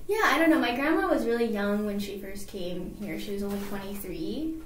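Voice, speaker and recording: A woman's voice: mid-range voice, lively intonation, brisk, roomy sound. A young woman from California, a guest on an interview show, talking casually and filling her answers with many "like"s and fillers.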